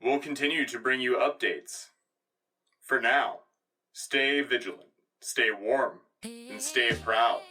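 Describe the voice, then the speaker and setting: Solemn patriotic sci-fi anchorman man acting, slow, measured, crisp forceful tone, formal radio bulletin. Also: mid-range voice, recorded from a distance. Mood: proud. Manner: measured, grandiose phrasing. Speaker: radio show host. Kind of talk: formal scripted news broadcast.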